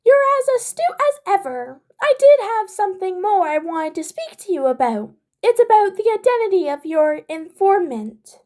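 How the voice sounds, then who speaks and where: Very squeaky, chipper creature principal. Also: female voice, very animated, normal speed, few pauses, mellow. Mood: pleased, polite. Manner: formal, cheerful, precise phrasing. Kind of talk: fan fiction audiobook character voice.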